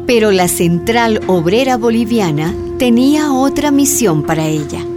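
A woman's voice: fairly high pitch, lively intonation, measured pace. A woman giving scripted narration for a radio drama. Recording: clear background music.

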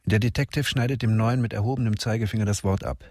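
A man narrating a radio crime drama in a measured, detached way. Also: lowish voice, steady tone, darkish tone, no echo.

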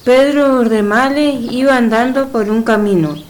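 A woman's voice: on the high side, unhurried. A woman presenting a radio programme and narrating its stories.

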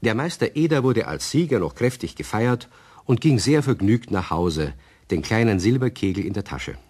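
A man narrating a children's radio play as an expressive, husky-voiced storyteller. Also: fairly low pitch, normal speed. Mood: amused storytelling.

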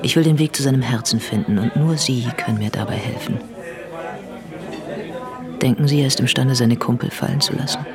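An actress playing a determined, controlled policewoman in a radio drama, speaking in a hushed, matter-of-fact way. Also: a bass voice, fairly quick, long pauses, music in the background. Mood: earnest, coaxing. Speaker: police investigator.

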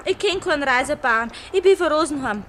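A woman's voice: high-pitched, animated, a little fast, fairly bright tone. An actress playing a pert, plucky young country girl in a 1950s radio play, with a Bavarian accent. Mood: defiant. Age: young adult. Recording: faint hiss of old radio recording.

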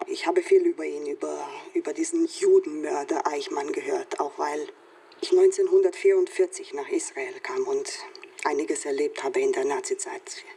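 An actress playing a subdued woman survivor giving her opinion in a hushed, breathy, hesitant way. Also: moderate pace, recorded from a distance, full character voice. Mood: subdued, grave. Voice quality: low, breathy, husky.

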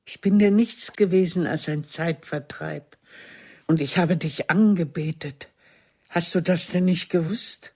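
An actress playing a proud ageing stage diva in a German radio play, reproaching a former lover in a husky, theatrical, cutting way. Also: female voice, fairly low pitch, measured pace, darkish tone. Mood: wounded, impassioned. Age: older. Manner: theatrical, cutting, formal address.

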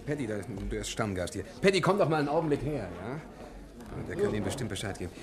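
An actor playing a brisk, sociable club-goer making introductions in a 1950s German radio play, affable and hurried. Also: male voice, mid-range voice, big pitch swings, a crowd in the background, close to an everyday voice.

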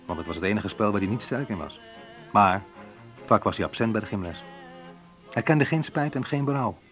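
An actor playing a reflective man in a naturalistic radio drama, talking in a conversational, hesitant, wry way with a husky voice. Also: fairly low pitch, lively intonation, brisk, fairly bright tone, faint music behind. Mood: wry, nostalgic. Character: Menno, reflective man recalling a school friend. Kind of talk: naturalistic radio drama monologue and phone talk.

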